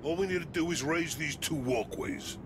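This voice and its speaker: An actor playing an eager, scrappy mechanic sidekick in a video game, explaining things with a slightly needling manner. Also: male voice, fairly high pitch, moderate pace, noisy background, lightly acted. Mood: scheming, confident. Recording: loud mechanical ambience behind.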